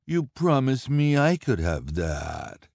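Man doing a haughty villainess, spoiled, demanding, high voice, slow drawl. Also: wildly swooping pitch, darkish tone, no echo, studio quality, heavily acted. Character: haughty greedy villainous woman.

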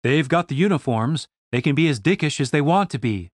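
A man voicing a calm, resigned male elf who speaks in a placating way, in an audiobook. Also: a little high, a little fast, no echo, clean studio sound.

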